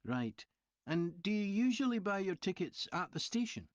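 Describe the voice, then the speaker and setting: An actor playing a polite train company representative asking survey questions in a scripted listening-test dialogue, clearly enunciated. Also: mid-range voice, big pitch swings, normal speed, no room sound, highs cut off, lightly acted. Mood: polite, inquiring.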